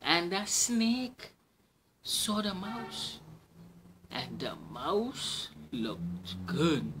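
A man in his thirties with a Trinidadian accent, telling a picture-book story theatrically, with hushed, drawn-out phrases. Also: a little high, big pitch swings, a little hiss or hum. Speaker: children's storyteller. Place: small room at home.

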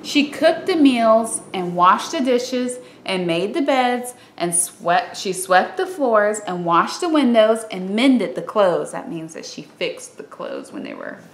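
A young woman reading a children's storybook aloud in an expressive, explanatory way, in a room at home. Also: mid-range voice, slow, hardly any pauses, echoey room. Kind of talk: storybook read-aloud with casual asides. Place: small room at home, by a window.

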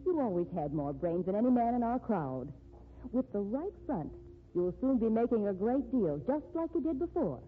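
A woman's voice: a little high, sing-song, moderate pace. An actress playing a determined, devoted woman writer, insistent and emphatic in 1950s radio style. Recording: tinny, noisy 1950s radio recording.

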